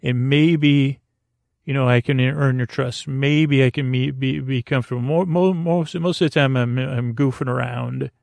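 A man hosting a bedtime podcast, talking close to the mic in a meandering, hesitant, reassuring and gently goofy monologue. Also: medium pitch, fairly even intonation, unhurried, vocal fry, no room sound. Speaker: podcast host. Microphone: close to the microphone.

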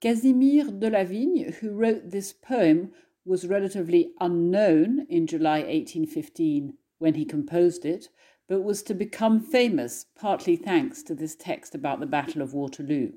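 A woman's voice: fairly low pitch, normal speed, warm tone. A woman scholar giving a literary introduction and poetry reading in an articulate manner.

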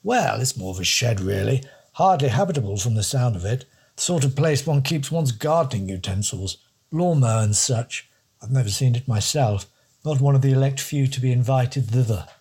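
A man's voice: medium pitch, expressive, normal speed, darkish tone, husky. A man voicing a wordy, pompous older English don with a fussy manner.

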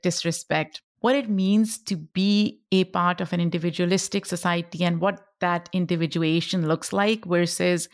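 A middle-aged woman with a Pakistani accent, a native Pashto speaker, talks in a slightly low voice. She is a podcast guest reflecting on personal experience. The sound is dry, with very little room in it. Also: no echo. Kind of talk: reflective personal interview talk.

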